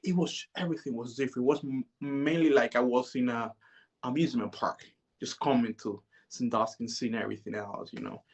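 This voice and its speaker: A man, telling his life story as an interview guest in a relaxed, hesitant way. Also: mid-range voice, brisk, gravelly, breathy edge, dull audio. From Dominican Republic. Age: 30s. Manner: relaxed, hesitant, many "you know"s.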